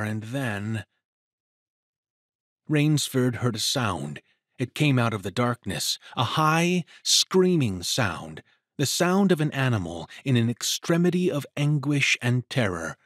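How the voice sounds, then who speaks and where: A man narrating a dramatic story from a script in a measured, literary and expressive manner. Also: mid-range voice, no room sound, studio quality.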